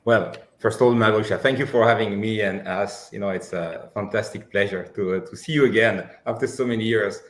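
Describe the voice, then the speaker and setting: A man with a French accent, whose first language is Armenian, speaking reflectively as a guest on a webinar panel, often pausing with "you know". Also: on the low side. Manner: reflective, many restarts and "you know" fillers. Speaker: panel guest, business leader. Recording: roomy echo.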